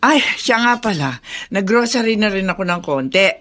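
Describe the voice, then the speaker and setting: A woman's voice: medium pitch, animated, moderate pace, fairly bright tone, close to an everyday voice. An actress playing a chatty, evasive older Filipino mother of a grown son in a radio drama.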